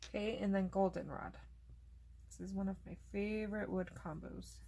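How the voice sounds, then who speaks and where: A woman host casually narrating a coloring tutorial, chatty and relaxed, thinking aloud as she works, and losing her voice. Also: mid-range voice, normal speed, vocal fry, slightly rough.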